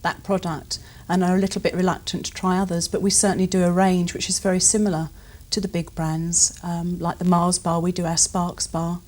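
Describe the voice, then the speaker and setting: A woman's voice: lowish voice, level tone, fairly quick, hardly any pauses, darkish tone. A British woman answering questions in a conversational interview as a retail guest. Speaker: interview guest, shop supervisor.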